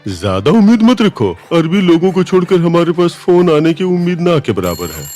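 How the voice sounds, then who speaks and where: Smug, mellow, lively cartoon cat. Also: male voice, fairly high pitch, moderate pace, faint music behind. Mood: confident. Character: lazy, smug, wisecracking male cartoon cat. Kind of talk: Hindi cartoon dub.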